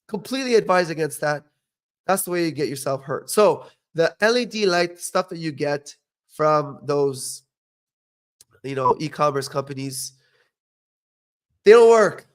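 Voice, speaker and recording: A man's voice: a little high, expressive, normal speed, long pauses. A young man who studied dentistry, hosting a casual podcast and giving blunt, opinionated advice with frequent "okay?" check-ins. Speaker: podcast host, dental professional. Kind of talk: casual educational podcast talk.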